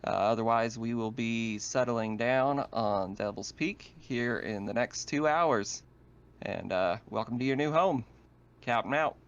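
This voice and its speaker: A man playing a laid-back ship captain giving an unhurried, folksy intercom announcement. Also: medium pitch, clean tone, lo-fi sound, natural voice. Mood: relaxed.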